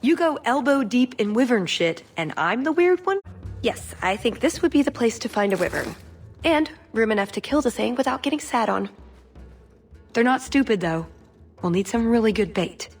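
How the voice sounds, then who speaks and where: Fantasy game elf adventurer: playful, quick-witted, higher-pitched, very lively intonation. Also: female voice, breathy edge, soft background music. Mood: playful, wry. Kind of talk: scripted fantasy game dialogue.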